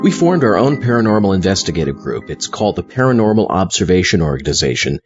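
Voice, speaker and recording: A man playing an earnest, easily exasperated zombie astronaut host in a comedy audio drama, explaining things haltingly. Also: deep voice, big pitch swings, dull audio, over music.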